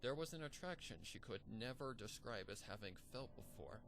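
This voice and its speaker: A man narrating a dark fan story in a measured, grim storytelling manner over background music. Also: mid-range voice, lively intonation. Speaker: fanfiction narrator. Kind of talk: dramatic story reading.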